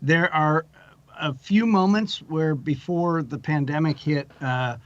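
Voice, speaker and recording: A man's voice: on the high side, takes it slowly, a bit bright, no room sound, dull audio. A man speaking as a producer guest in a casual interview, rambling through anecdotes and explanations.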